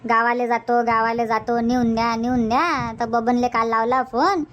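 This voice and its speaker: Woman voicing chatty cartoon aunt, high pitch, Vidarbha Marathi accent, gossipy, suspicious, emphatic. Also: steady tone, normal speed, fairly bright tone, lightly acted. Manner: gossipy, emphatic, rhetorical questions. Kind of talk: cartoon comedy dubbing.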